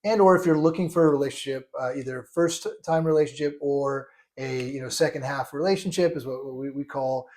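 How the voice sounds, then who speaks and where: A man's voice: medium pitch, a little fast, few pauses, a little mellow. A man co-hosting a casual chat, earnestly clarifying points and often restarting his sentences. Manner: earnest, stresses being clear, restarts often.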